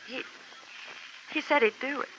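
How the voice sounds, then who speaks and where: Earnest worried young woman, high and bright. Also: unhurried, breathy edge, exaggerated voice. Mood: pleading. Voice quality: pleading, earnest. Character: anxious young woman protecting her father. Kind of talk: 1950s radio drama acting. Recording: dull noisy old radio recording.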